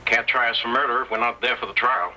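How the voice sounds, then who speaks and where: An actor playing a scheming, bossy outlaw in an old Western radio drama, talking in colloquial cowboy style. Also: male voice, mid-range voice, steady tone, fast talker, sharp tone. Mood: sly, commanding. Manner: commanding, colloquial cowboy talk. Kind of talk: 1930s-40s Western radio acting. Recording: noisy old-time radio recording.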